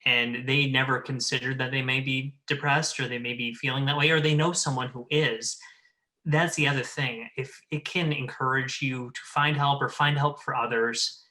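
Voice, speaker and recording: Bright, forceful man in earnest discussion. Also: medium pitch, fairly even intonation, normal speed. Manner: earnest, emphatic. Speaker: show panelist. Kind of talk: conversational panel discussion. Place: remote video call.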